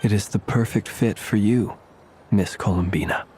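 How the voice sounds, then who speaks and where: A man voicing a courteous gentleman candy maker in a video game, speaking formally and politely with elaborate phrasing. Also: on the low side, steady tone, moderate pace, a little hiss or hum, natural voice. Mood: warm formal. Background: game soundtrack music.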